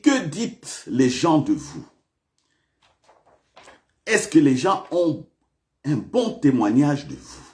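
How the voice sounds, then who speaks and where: A man's voice: mid-range voice, a little mellow. A male pastor preaching a sermon in a church, repeating questions to the congregation with big swings of emphasis.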